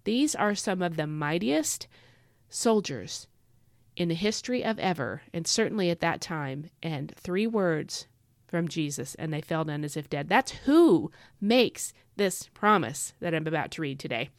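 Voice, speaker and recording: A woman's voice: sits low, expressive, measured pace, no room sound, clean studio sound. Stuffy-nosed woman hosting a devotional podcast. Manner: earnest and emphatic, repeats phrases for stress; chatty with fillers and asides. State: stuffy from a head cold. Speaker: podcast host.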